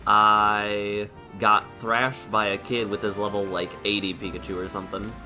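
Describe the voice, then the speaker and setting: A man with a stuffy, sniffly nose and a sore throat, streaming a game and chatting casually while thinking aloud with pauses. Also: on the low side. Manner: laid-back, thinking aloud with pauses and hums. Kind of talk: casual gameplay commentary.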